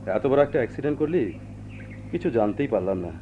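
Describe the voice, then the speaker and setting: An actor as a hearty, lively young forest officer, muffled recording. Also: male voice, mid-range voice, fast, natural voice. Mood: concerned. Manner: jovial, breezy, expressive. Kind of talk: Bengali radio drama acting. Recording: muffled old radio drama recording, background noise.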